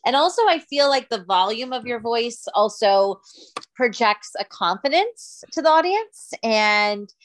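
Woman, higher-pitched, talkative co-host; casual, filler-heavy, easy laugh. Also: lively intonation, a little slow, a little hiss or hum. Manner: chatty, lots of fillers, laughs easily. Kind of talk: casual chat.

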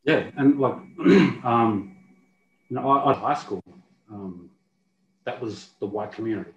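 A man from Australia with an Australian accent, speaking softly and hesitantly in a casual interview, with many ums. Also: lowish voice, unhurried. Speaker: rugby league player, guest. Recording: roomy, muffled, faint hiss.

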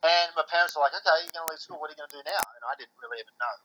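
Bright-voiced Australian man, rambling. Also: fairly high pitch, rapid-fire, muffled recording, a little hiss or hum. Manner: rambling, many fillers and restarts. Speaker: podcast guest. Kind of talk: casual chat.

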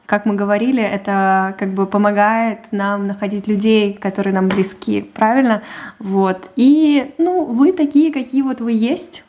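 A woman's voice: medium pitch, steady tone, a little slow, a little mellow. A woman co-hosting a podcast, chatting casually and animatedly, laughing often as she talks. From Russian- and Ukrainian-speaking. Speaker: podcast co-host. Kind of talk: casual chat.

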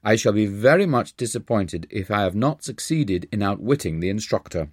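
Audiobook role: male reader as cheeky, earnest young student, courteous formal phrasing, bold streak, animated intonation, dry sound. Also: fairly low pitch, normal speed, husky, dry recording. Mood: confident, scheming. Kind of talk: audiobook character voice.

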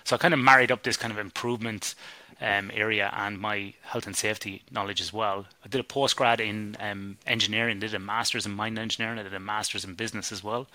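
Man, Irish accent, middle-aged, chatty, casual swearing, bright tone. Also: lowish voice, steady tone, fast, dry recording. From Ireland. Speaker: guest, sleep researcher. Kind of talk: casual podcast interview.

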